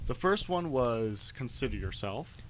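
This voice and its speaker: A man chatting casually on a podcast, telling anecdotes in an animated storytelling way, on noisy amateur audio. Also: mid-range voice, measured pace, a crowd in the background.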